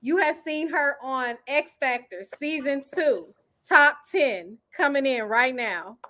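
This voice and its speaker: A woman's voice: high voice, slow, pushed and bright. A woman hosting a podcast on a live stream, talking casually with her guests. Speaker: podcast host.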